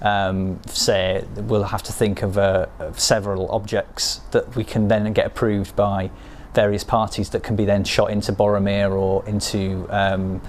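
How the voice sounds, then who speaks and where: A man with a slightly low voice talks through his work as a game developer in an interview. He is hesitant and thinks aloud, piecing sentences together as he goes. There is clear ambient noise behind him. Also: little pitch movement, measured pace, hardly any pauses, darkish tone. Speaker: game developer explaining cut scenes. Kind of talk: behind-the-scenes interview, unscripted explanation.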